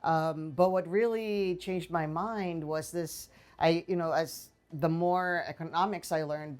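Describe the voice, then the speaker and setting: A woman in her forties from the Philippines, a political science professor answering interview questions in a small office on a lapel mic, thoughtful and full of fillers and restarts. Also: low voice. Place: small office with bookshelves, indoors.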